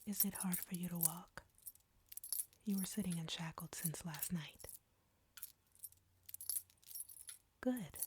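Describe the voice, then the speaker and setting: A woman playing an arrogant, flirty vampire captor in an intimate audio roleplay, breathy and whispery, taunting and commanding. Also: lowish voice, normal speed, a little mellow, a little hiss or hum, natural voice. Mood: taunting, domineering.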